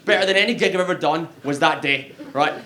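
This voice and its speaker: A man's voice: fairly high pitch, quick pace, bright, forceful tone. A young man with a Glaswegian Scottish accent doing a stand-up comedy set on a club stage, chatty and self-mocking, often laughing at his own jokes. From Scotland.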